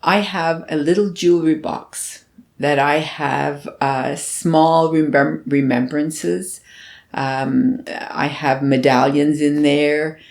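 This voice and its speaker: Older woman, very slow pace, gravelly fry, unscripted reflection, hesitant pauses. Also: low-pitched, fairly even intonation, a little mellow. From Canada. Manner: slow, thoughtful, with frequent ums and restarts. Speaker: interviewee sharing memories.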